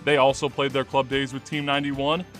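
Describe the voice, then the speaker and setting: A man's voice: medium pitch, flat delivery, brisk, fairly bright tone, soft background music. A man reading a scripted sports radio report as the reporter.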